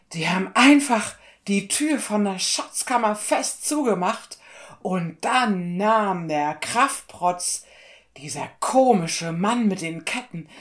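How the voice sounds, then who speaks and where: A woman voicing a panicked royal servant in a children's fairy tale, loud, distressed and pleading. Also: medium pitch, voice pushed up, lightly acted. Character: panicked royal servant reporting a disaster to the king.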